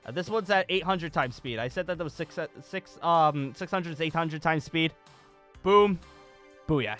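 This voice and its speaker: A young man giving a casual, enthusiastic video tutorial, excitable and emphatic, often repeating himself. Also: very high voice, dry recording. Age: young adult.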